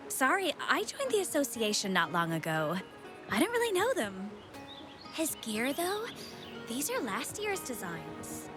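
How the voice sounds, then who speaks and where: An actress playing a timid but helpful young guild newcomer in a video game, apologetic and hesitant. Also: female voice, sits high, exaggerated voice. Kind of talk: scripted game dialogue. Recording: game music behind voice.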